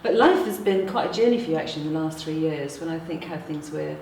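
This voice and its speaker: A woman's voice: sits low, quick pace, a little mellow. A woman from Wales chatting as an event host and interviewer. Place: indoors in a manor house venue. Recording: echoey room, distant mic, background noise.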